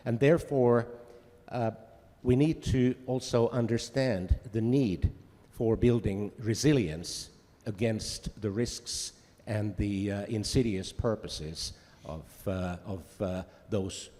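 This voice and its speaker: A man from Finland giving formal welcome remarks as a diplomat at a conference, pausing often with filler sounds and restarting phrases. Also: lowish voice, dark tone, big-room sound. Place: conference hall.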